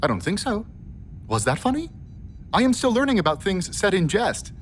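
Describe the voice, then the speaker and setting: Earnest ship's computer, radio drama, lightly high voice, precise, animated intonation, slight background noise. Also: male voice, moderate pace. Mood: earnest, matter-of-fact. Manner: precise, stilted, literal phrasing. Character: literal-minded ship's computer or robot, politely earnest. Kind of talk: radio play acting.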